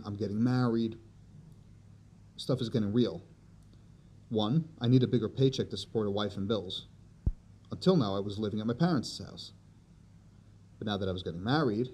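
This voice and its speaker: A young man recounts his past in a loose, conversational podcast monologue. His voice sits a little low and sounds dark and mellow. Faint ambience hums under the recording. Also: a little hiss or hum. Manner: conversational, tag questions like "right?". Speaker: podcast host. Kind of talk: personal storytelling monologue.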